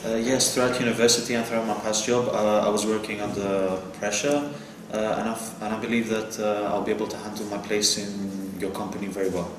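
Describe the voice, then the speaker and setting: A man's voice: lowish voice, little pitch movement, brisk, lightly acted. A teenage boy playing an earnest job applicant in a school role-play, speaking hesitantly with restarts. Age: teenage student. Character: earnest young male advertising job applicant. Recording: echoey room with clear noise.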